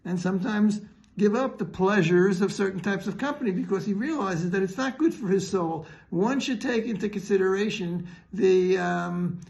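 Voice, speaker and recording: Male rabbi, high creaky voice, earnest halting teacher delivering an informal Torah lesson. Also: dull audio. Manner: earnest, didactic, halting mid-sentence. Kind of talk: religious teaching, unscripted explanatory talk.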